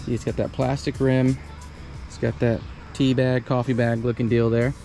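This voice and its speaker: A man in his thirties giving a relaxed, chatty step-by-step demonstration outdoors at a campsite under a canopy tent. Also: mid-range voice, normal speed. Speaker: vlogger. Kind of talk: casual how-to demo. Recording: background music under voice. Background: music.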